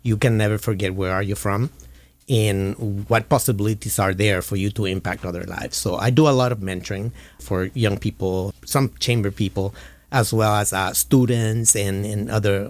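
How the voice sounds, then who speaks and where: A man in his forties, originally from Puerto Rico, talks earnestly as a guest in a casual interview. His voice sits fairly low and the recording is close and dry. He often repeats a word or two as he gets a sentence going. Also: fairly even intonation, moderate pace, darkish tone, dry recording. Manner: earnest, repeats words when starting sentences. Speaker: guest, nonprofit development and communications officer.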